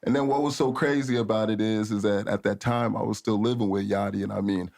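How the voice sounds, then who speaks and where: A man from Africa, a podcast guest, telling long stories in a relaxed, rambling way with many fillers. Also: on the low side, brisk, gravelly. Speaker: podcast guest, studio owner. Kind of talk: casual storytelling chat.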